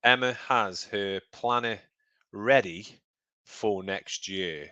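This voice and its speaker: A man's voice: fairly low pitch, takes it slowly, bright, forceful tone, clear, resonant tone, dry recording, dull audio. An English man co-hosting a casual podcast chat, a bit nasal from a cold, joking around. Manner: joking, chatty. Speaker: podcast co-host.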